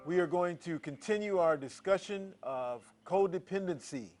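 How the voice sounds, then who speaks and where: A man's voice: sits high, animated, normal speed, darkish tone, soft background music. A man hosting a talk show, speaking earnestly and appealing directly to viewers. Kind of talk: hosted talk segment.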